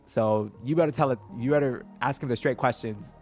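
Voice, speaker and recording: A young man streaming a game with a medium-pitched voice. He reacts in a sassy, slangy, conversational way, with faint game music behind him. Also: fairly quick, dark tone. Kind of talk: casual livestream reaction commentary.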